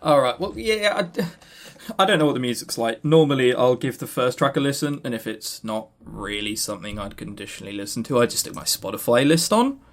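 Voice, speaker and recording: A man talks casually as a podcast co-host with strongly varied intonation. He is blunt, scornful and quick to swear, stammering as he drives his opinions home. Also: medium pitch, expressive. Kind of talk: casual opinionated game review chat.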